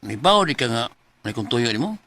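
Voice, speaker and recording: A man's voice: mid-range voice, sing-song, brisk, vocal fry, bright, forceful tone, exaggerated voice. A man playing a shy young suitor in a radio drama, hesitant and apologetic. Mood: nervous, lovestruck. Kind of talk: Cebuano radio drama acting. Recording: faint background noise.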